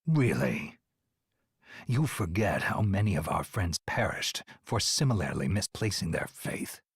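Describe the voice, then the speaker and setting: An actor playing a gruff, scornful demon hunter in a video game, with a rough, curt, menacing delivery. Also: male voice, low voice, sing-song, a little slow, no room sound, studio quality, full character voice. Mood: cold, threatening. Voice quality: gravelly, rough.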